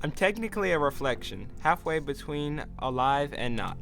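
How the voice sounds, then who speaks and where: An actor portrays a resentful son returned as a half-living reflection in an audio drama. He is aloof and deadpan at a middling pitch, with quiet music underneath. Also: normal speed, vocal fry. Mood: cold, reproachful. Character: cool, resentful young man returned from death.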